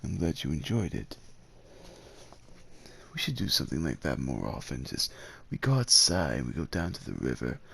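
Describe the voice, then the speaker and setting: A man playing a devoted, gentle werewolf suitor in an ASMR roleplay, speaking with hesitant tenderness and courtly phrasing in a gravelly, husky voice. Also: extremely low pitch, huge pitch swings, moderate pace, a little hiss or hum, full character voice. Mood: warm, hopeful. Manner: hesitant, tender, old-fashioned courtly phrasing with tag questions. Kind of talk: ASMR roleplay, acted monologue addressed to the listener.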